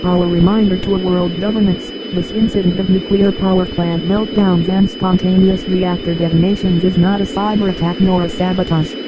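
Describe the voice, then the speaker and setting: A man's voice: high voice, flat delivery, normal speed, few pauses, lo-fi sound, noisy background, lightly acted. A man playing a grave scientific official delivering a formal scripted emergency address to the world. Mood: grave, urgent. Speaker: official emergency message reader.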